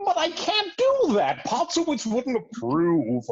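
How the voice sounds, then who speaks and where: Woman as nervous magpie therapist, husky, animated, hesitant, dull lo-fi audio, radio comedy. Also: sits high, unhurried, no echo, exaggerated voice. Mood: flustered. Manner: hesitant, flustered. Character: nervous magpie psychiatrist and radio host, placating. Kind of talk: comedy radio table read.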